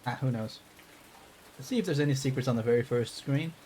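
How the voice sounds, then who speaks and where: A man's voice: mid-range voice, fairly quick, mellow, slight background noise. A man streaming a game playthrough, rambling with wry asides and reading on-screen text aloud. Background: game music.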